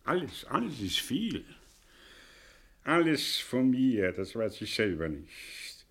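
An actor playing a vain, eloquent older intellectual in a German radio play, scornful and theatrical, speaking in grand rhetorical phrases. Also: male voice, medium pitch, big pitch swings, slow pace, slightly rough, heavily acted. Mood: boastful reminiscing. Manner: grandiose, rhetorical delivery with dramatic swells and hushes.

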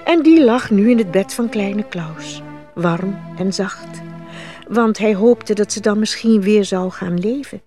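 A woman reading scripted fairy-tale narration as an expressive storyteller. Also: mid-range voice, measured pace, soft background music.

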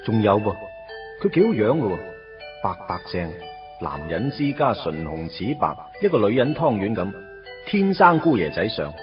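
An actor playing a lovesick, self-deprecating teenage schoolboy in a radio drama, confiding and wry, in Hong Kong Cantonese. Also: male voice, fairly low pitch, sing-song, normal speed. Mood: wry, jealous. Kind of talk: radio drama narration and dialogue. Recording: dull lo-fi radio recording with music.

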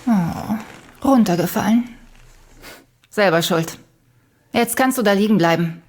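An actress playing a cold, haughty conspirator in a radio drama, with a condescending, cool manner. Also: female voice, slow. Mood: scornful. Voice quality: husky, low. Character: cold, smug female conspirator and killer, haughtily superior.

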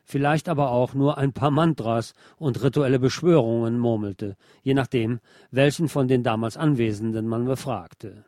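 A man reading a scripted music feature as a radio presenter, in a measured, articulate, literary manner. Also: medium pitch, mellow. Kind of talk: scripted narration.

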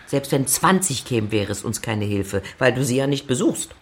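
An actress playing an excitable, nagging middle-aged wife and mother in a period radio drama, dramatic, complaining and insistent. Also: rumbling low, normal speed, a little hiss or hum. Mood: wounded, insistent.

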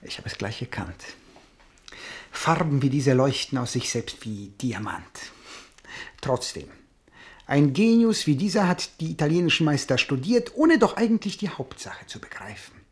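Husky, gravelly scheming envoy. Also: male voice, mid-range voice, expressive, moderate pace. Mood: connoisseurial. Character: smooth scheming Italian envoy, art connoisseur, coldly plotting. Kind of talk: German radio drama acting.

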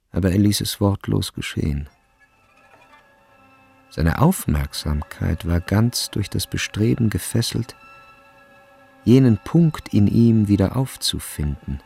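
Measured literary narrator, sombre radio drama delivery, low and gravelly. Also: male voice, darkish tone, full character voice.

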